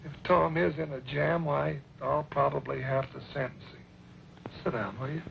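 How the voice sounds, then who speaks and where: An actor playing a folksy, eccentric older judge in an old western radio drama, creaky and a little pompous. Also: male voice, steady tone, normal speed. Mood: concerned. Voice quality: creaky, high-pitched. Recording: dull, hissy old radio recording.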